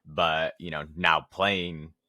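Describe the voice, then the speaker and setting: A man's voice: very deep voice, steady tone, slow pace, bright, forceful tone, dry recording, studio quality. A young man chatting casually as a podcast co-host. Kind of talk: casual chat about games and movies.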